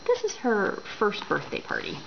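A woman playing a fair-minded, apologetic storybook mother in a measured, emphatic way. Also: medium pitch, huge pitch swings, vocal fry. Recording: dull home recording with noise.

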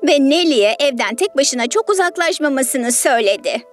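A woman's voice: sits high, animated, moderate pace, faint music behind. A woman storyteller narrating a children's story in a scripted read.

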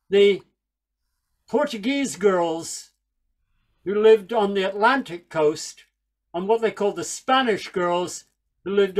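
An older man from Northern Ireland, raised in England, giving a wry, chatty storytelling talk over a video call from a small room at home with a headset mic. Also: high-pitched. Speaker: folk singer and speaker.

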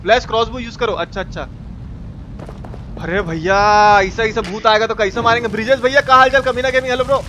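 A man's voice: very high voice, fairly even intonation, brisk, sharp tone. A man with a Bihari accent giving exclamatory, slangy, sweary live game commentary and greeting his chat. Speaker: game streamer. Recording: noisy, game sounds behind.

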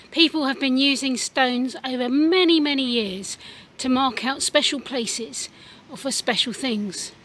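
High-voiced woman. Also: a bit bright, a little hiss or hum. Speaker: children's church presenter. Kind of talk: informal presenting to children. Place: outdoors in a church car park.

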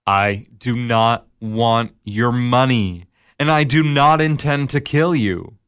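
A slow, mocking Devil. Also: male voice, medium pitch, fairly bright tone, smooth. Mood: sly. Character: the Devil, smooth, amused male tempter. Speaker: narrator and tempter. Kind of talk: radio drama acting.

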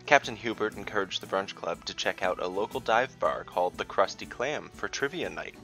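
A man's voice: on the low side, brisk, creaky, bright, forceful tone, faint music behind. A man narrating a scripted fantasy tale as dungeon master, with theatrical, descriptive storytelling.

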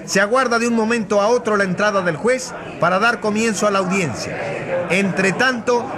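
A woman acting an excited live radio reporter in a radio drama, speaking urgently and projecting, sometimes breaking off and repeating herself. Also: mid-range voice, normal speed, natural voice. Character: excited female radio reporter amid a packed crowd. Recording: loud crowd noise behind. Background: noisy crowd.

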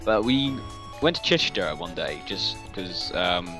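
A man's voice: low-pitched, big pitch swings, unhurried, over music. A young man with a southern English accent, chatting in a rambling, digressive way as he tells a casual story. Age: young adult. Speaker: guest on a gaming video.

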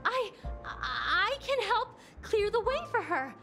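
A woman playing a timid, lovesick young woman in a video game, plaintive and halting, with music behind her. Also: extremely high pitch, big pitch swings, gravelly, sharp tone, heavily acted. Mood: earnest. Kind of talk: video game character acting.

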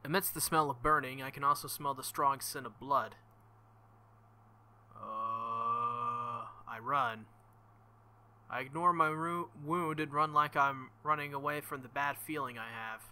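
A young man hosting a visual novel playthrough, reading narration and commenting in an excitable, joking, profane way. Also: medium pitch, measured pace. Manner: excitable, swings to loud outbursts. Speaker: let's-play host.

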